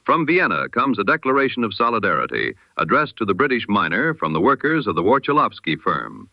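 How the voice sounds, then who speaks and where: Emphatic low-voiced man reading radio news. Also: huge pitch swings, creaky, no room sound. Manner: measured, emphatic delivery with dramatic pauses. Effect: old radio sound. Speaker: newsreader. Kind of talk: old-time radio news broadcast. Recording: dull lo-fi old radio sound.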